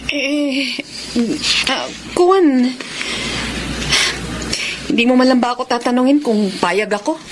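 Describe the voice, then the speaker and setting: A high-pitched, middle-aged woman's voice plays a meek mother in a radio drama. She hesitates and gives in softly. Her speech is careful and small. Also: slow, pauses often, background noise. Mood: timid. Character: timid mother, afraid of her husband.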